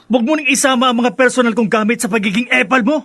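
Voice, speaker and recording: A resentful young man in a radio drama. His voice sits high and is rough and husky at the edges. He speaks with stubborn, scornful defiance. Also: fast talker, a bit bright, no echo, heavily acted. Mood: angry, scornful. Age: young adult. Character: bitter, defiant young son who despises his stepmother. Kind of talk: Filipino radio drama acting.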